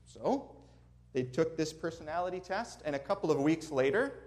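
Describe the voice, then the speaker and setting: Friendly Minnesotan preacher, slightly high voice. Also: male voice, lively intonation, normal speed, darkish tone. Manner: earnest, friendly, chuckling asides. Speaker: guest preacher. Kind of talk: sermon with prayer and storytelling. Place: church sanctuary before a congregation.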